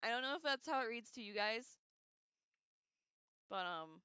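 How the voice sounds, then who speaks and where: A woman hosting a livestream, casually reading aloud and breaking off into irreverent, sarcastic, slangy commentary in an expressive way. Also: a little high, normal speed, clear, resonant tone, no echo, dull audio. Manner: expressive, animated asides. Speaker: livestream host.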